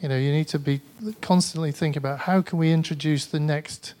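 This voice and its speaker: A man's voice: on the high side, steady tone, fairly quick, darkish tone. A man with a Mancunian English accent gives a tired, conversational conference talk and answers audience questions, often hesitating and restarting. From Manchester, England. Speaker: conference speaker. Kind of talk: presentation with audience Q&A.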